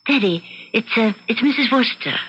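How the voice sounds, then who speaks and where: An actress playing a scheming, bored wife in an old-time radio suspense drama, theatrical, genteel and confiding. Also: female voice, on the high side, expressive, fairly quick, breathy edge, muffled recording. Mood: coaxing. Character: scheming, bored wife narrating her murderous plans. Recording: band-limited radio drama with loud music.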